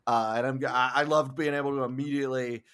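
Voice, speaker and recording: A man's voice: mid-range voice, steady tone, quick pace, fairly bright tone, clean tone. A man chatting casually as a podcast panelist. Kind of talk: casual chat.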